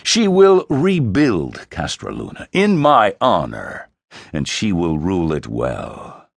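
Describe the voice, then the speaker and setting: A man voicing a cold, commanding old king who speaks slowly and sternly to his son. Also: deep voice, wildly swooping pitch, gravelly, husky, no room sound, full character voice. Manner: slow, measured, commanding.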